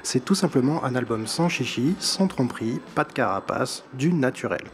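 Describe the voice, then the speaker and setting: A man's voice: mid-range voice, lively intonation, moderate pace, a little hiss or hum. A man with a slight accent in French, giving an enthusiastic, chatty music column on a live show. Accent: non-native accent in French. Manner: chatty, enthusiastic, laughs at himself. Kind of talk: casual live-show chronicle.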